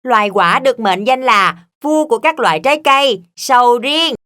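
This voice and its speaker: A woman's voice: fairly high pitch, lively intonation, normal speed, lightly acted. A woman voicing a polite, cheerful child who leads a superhero team in a children's cartoon. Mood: cheerful, eager.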